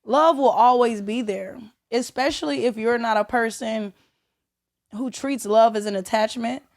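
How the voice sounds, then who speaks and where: A woman's voice: a little high, measured pace. A young woman chats easily as a podcast guest, slipping in fillers and casual swearing. Her voice sits a little above the usual. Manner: relaxed, chatty, with fillers and curse words. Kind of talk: casual chat.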